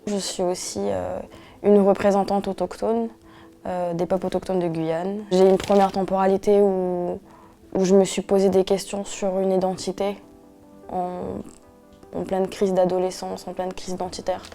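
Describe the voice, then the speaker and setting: A woman's voice: medium pitch, flat delivery, mellow, soft background music. Earnest young woman from French Guiana. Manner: earnest, measured, with frequent restarts and hesitations. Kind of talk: interview testimony.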